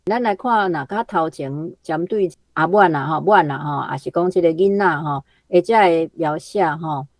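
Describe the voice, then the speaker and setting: 低沉的台語女評論者. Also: female voice, lowish voice, moderate pace, no room sound. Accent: Taiwanese Hokkien. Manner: chatty, frequent 吼 tags. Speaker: literary commentator. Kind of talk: casual commentary.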